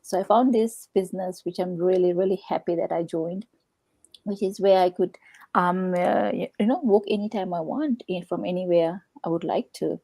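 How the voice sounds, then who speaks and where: A woman's voice: on the low side, moderate pace, mellow, no room sound. A woman from Fiji in her thirties sharing her story as a guest on a live stream, hesitant and full of fillers. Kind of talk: casual live-stream chat.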